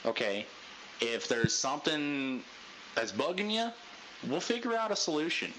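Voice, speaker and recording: A man leading a group debrief in a casual pep-talk style, talking loudly with a lot of inflection. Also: very animated, measured pace, noisy background. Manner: loud, high voice inflection. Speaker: unit leader giving a debrief.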